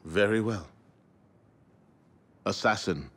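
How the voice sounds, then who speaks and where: An actor playing an authoritative, measured leader of assassins in a video game cutscene. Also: male voice, on the low side, a bit bright. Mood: solemn, commanding.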